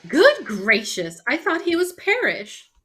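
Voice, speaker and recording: Brief: actress as plucky radio-mystery gal, high voice, very animated, sardonic and exclamatory. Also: female voice, takes it slowly, exaggerated voice. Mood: astonished. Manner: exclamatory, folksy. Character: plucky, outspoken, sardonic female sidekick. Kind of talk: old-time radio mystery acting.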